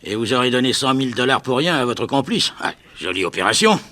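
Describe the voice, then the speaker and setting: An actor playing a scheming, ruthless American crime boss in a French radio crime serial, mocking and menacing. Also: male voice, mid-range voice, very animated, fast, pushed and bright.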